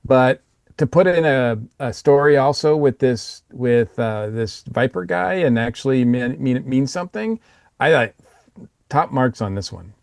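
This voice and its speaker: Mid-pitched man, dry close sound. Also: lively intonation, unhurried, vocal fry, darkish tone, no room sound. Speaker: podcast panelist. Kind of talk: casual review chat.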